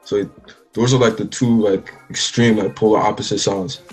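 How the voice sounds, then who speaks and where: A man's voice: on the low side, fairly even intonation, normal speed, darkish tone, slightly rough, faint music behind. A young man from the Bahamas, a musician talking casually in an interview, rambling with lots of restarts. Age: young adult. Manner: rambling, many likes and restarts. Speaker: musician guest.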